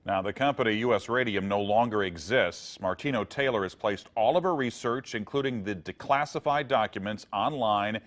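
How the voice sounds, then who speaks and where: A man with an American accent reading scripted television news as an anchor. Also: lowish voice.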